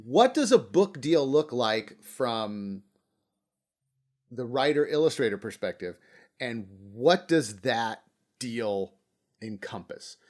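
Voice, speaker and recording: A man's voice: medium pitch, very animated, slow pace, a little mellow. A middle-aged man hosting a podcast, talking casually and acting out imagined reactions as he tells stories. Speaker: podcast host. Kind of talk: casual interview chat.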